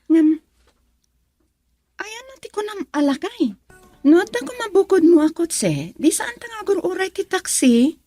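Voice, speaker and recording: A woman's voice: extremely high pitch, very animated, measured pace, pauses often, dark tone, dry recording, faint music behind, exaggerated voice. A woman playing a sassy, bantering young schoolgirl friend in a radio drama, with a Filipino accent. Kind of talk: Ilocano radio drama acting.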